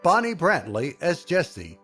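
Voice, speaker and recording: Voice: mid-range voice, huge pitch swings, slow, a bit bright, slightly rough, soft background music, exaggerated voice. An actor playing a wry, dramatic narrator in scripted sci-fi comedy. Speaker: second narrator.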